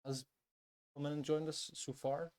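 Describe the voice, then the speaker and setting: A man's voice: a little high, flat delivery, normal speed, a little mellow. A Northern Irish man hosting a music podcast in a casual, chatty style. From Northern Ireland.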